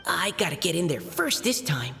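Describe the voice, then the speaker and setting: Creaky, eager young contestant in reverb. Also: female voice, lowish voice, sing-song, normal speed, fairly bright tone, full character voice. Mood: frustrated, determined. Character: eager, self-doubting young female contestant. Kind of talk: anime game voice acting. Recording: long reverb, faint music.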